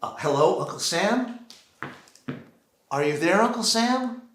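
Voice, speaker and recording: An American man performing a theatrical solo monologue, deliberately acting out one side of a phone call on a theater stage. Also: sits high, lively intonation, a bit bright. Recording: roomy, echoing live theater sound.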